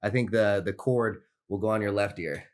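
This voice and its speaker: Low-voiced man hosting a casual podcast. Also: fairly even intonation, moderate pace, darkish tone. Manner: easygoing, slangy, profane. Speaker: podcast host.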